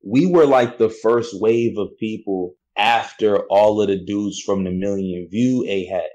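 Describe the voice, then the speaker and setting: A young man with a low voice talks in a loose, slangy way. He keeps dropping "you know what I'm saying" as he tells his story, and his delivery is relaxed and unhurried. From United States. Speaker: battle rapper guest. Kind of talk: casual interview chat.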